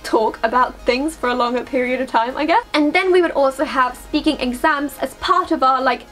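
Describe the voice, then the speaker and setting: High-voiced chatty Swedish-native woman vlogger. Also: brisk, fairly bright tone, soft background music. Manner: chatty, lots of fillers. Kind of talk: casual chat to camera. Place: small bedroom, indoors.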